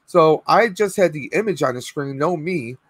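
Hoarse stream host, thirties, talks in a dry, close, higher voice with lots of fillers. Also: male voice, expressive, normal speed, fairly bright tone, no echo. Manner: chatty, rambling with fillers. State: losing his voice, clearing throat. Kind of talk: casual live stream chat. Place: home room with collectibles, indoors. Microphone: webcam video call.

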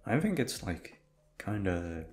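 A man's voice: low-pitched, sing-song, slow pace, a little mellow, faint music behind. A man chatting casually to his listeners as a voice actor, in an informal, rambling way with some swearing. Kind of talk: casual chat.

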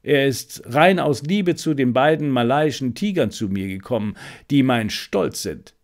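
A talkative, proud owner of a travelling animal show, acted out by a male audiobook reader. His pitch moves up and down in lively swings, and he chats with small hesitant interjections. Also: unhurried. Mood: proud, musing.

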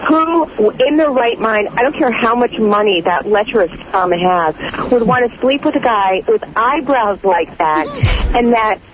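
A woman's voice: fairly high pitch, expressive, a little slow, crowd noise. A woman listener leaving an indignant, emphatic, scornful phone message for a radio show. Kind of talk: indignant casual rant. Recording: dull noisy phone message.

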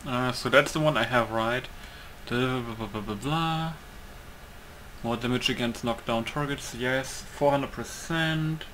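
A man's voice: mid-range voice, a little slow, fairly bright tone. A man giving casual, rambling game commentary, thinking aloud and repeating himself. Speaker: gamer commentating on own playthrough. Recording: clearly noisy recording.

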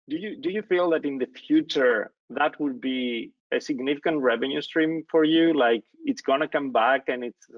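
Gravelly Greek man hosting a video call. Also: medium pitch, dry recording. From Greece. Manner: halting, many restarts and fillers. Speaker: host. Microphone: laptop webcam mic on video call. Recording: dry, dull lo-fi call audio.

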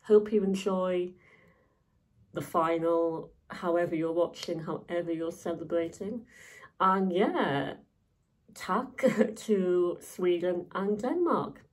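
A young woman with a lowish voice chatting to camera in an easygoing vlog. She talks slowly, full of ums and likes, with fan enthusiasm. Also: low-quality recording. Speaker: vlogger.

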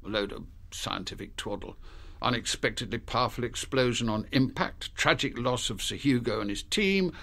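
An actor playing a dry, authoritative British intelligence chief in a radio drama, clipped and matter-of-fact. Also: male voice, medium pitch, normal speed, creaky, few pauses, fairly bright tone. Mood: grave, wry.

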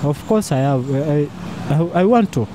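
A man's voice: fairly high pitch, lively intonation, unhurried. A young man with a Ugandan accent answering on a busy street, casual and hesitant, leaning on "like". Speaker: passer-by. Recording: loud traffic noise behind.

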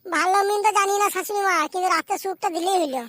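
A performer voicing a hapless, foul-mouthed young cartoon groom in coarse slang, squeaky and childlike. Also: racing, bright, forceful tone. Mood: cheeky. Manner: coarse, grumbling, rural slang. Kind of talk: amateur comedy cartoon voice acting.

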